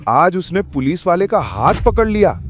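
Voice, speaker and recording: A man's voice: high-pitched, sing-song, moderate pace, background noise. A man narrating a scripted audio story in a dramatic, suspenseful way. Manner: suspenseful, theatrical.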